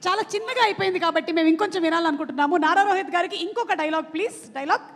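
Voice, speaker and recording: A woman's voice: very high voice, rapid-fire. A woman hosting a film event on stage in a playful, prompting manner. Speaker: event host. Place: large event venue, amplified stage. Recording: hall PA with long reverb.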